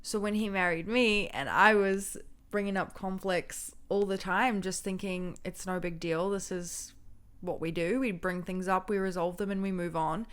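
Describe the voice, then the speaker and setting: A young woman with a strong, loud voice, chatting casually as co-host of a marriage advice show. She tells her stories animatedly, slipping into little acted-out quotes. Her pitch sits in an ordinary middle range. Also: normal speed, clean tone. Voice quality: low, loud voice. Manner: animated storytelling, acts out voices and quotes with laughs.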